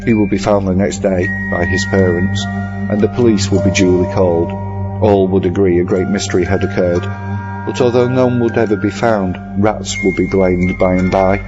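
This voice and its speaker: Horror story narrator, male, very deep, measured and literary, strong music bed. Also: fairly even intonation. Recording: dull audio, prominent music bed.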